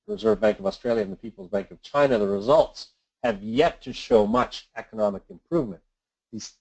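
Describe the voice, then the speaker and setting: A man reads scripted market commentary as an investment adviser on a webinar, in a measured, formal, businesslike manner. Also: lowish voice, darkish tone. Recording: dull lo-fi conference call audio.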